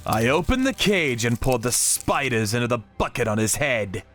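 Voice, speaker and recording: A man's voice: medium pitch, very animated, moderate pace, a bit bright, soft background music. A man narrating a dramatic story in the first person with theatrical delivery. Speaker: narrator of a manga channel story.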